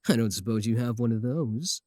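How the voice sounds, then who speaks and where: A man's voice: mid-range voice, a little fast, a little mellow, no echo, studio quality, lightly acted. A man voicing a possessive, boastful werewolf in a comedic ASMR roleplay, sardonic and taunting, doting on his sweetheart.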